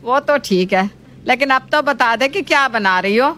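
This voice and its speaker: A woman voicing a homely middle-aged mother-in-law who coaxes and gently scolds in a family story, with faint noise behind. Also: sits high, lively intonation, moderate pace. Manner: coaxing, explanatory.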